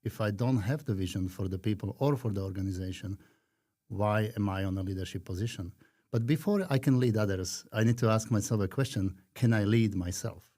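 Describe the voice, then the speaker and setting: A man in his fifties from Slovakia with a Slovak accent, talking earnestly and reflectively as a podcast guest, with frequent pauses. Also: lowish voice, mellow. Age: 50s. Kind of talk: conversational interview.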